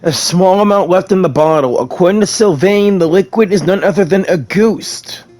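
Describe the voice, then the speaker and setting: A streamer talks casually through a game, reading dialogue aloud. The voice is medium in pitch, playful and informal, with music playing softly behind. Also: moderate pace. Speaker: gamer, streamer.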